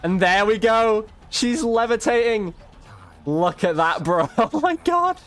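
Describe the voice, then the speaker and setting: A man's voice: high voice, lively intonation, slow pace, a bit bright. A man giving casual gameplay commentary, informally thinking aloud as he plays. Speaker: gaming video creator.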